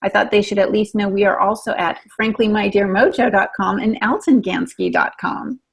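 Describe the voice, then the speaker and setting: Medium-pitched woman chatting on a podcast. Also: moderate pace, creaky, husky. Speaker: podcast co-host. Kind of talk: casual chat with playful banter.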